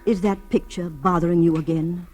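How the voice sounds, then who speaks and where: A woman's voice: lowish voice, steady tone, normal speed, darkish tone, faint music behind, lightly acted. An actress playing a solicitous woman who coaxes and reassures, in 1940s radio drama style. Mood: coaxing. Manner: coaxing, reassuring. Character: soothing, solicitous woman caring for nervous Julia.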